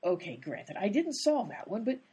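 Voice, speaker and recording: A woman's voice: medium pitch, sing-song, moderate pace, dark tone, husky. A woman playing an exasperated, determined but inept detective in a radio comedy, with an emphatic, easily sidetracked manner. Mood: earnest, scheming.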